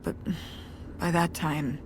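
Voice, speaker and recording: A wry, tired middle-aged mother talks things through with dry, self-deprecating humor. Her voice sits a little low. A faint hiss runs under the game dialogue. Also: flat delivery, slow pace. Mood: heavy. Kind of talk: naturalistic game voice acting.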